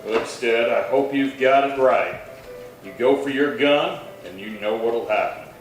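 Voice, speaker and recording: An actor playing a steady, watchful western marshal with a measured, firm delivery, in old-time radio drama style. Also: male voice, medium pitch, over music, lightly acted. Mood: calm, firm. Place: little theatre stage, distant mic. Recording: very echoey hall, far from mic, faint noise.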